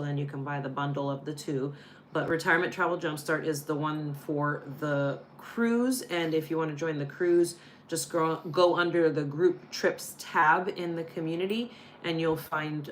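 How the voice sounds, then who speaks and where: Older woman co-host, low chatty voice, faint room noise. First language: Spanish. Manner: chatty, laughs often. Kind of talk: casual live Q&A chat.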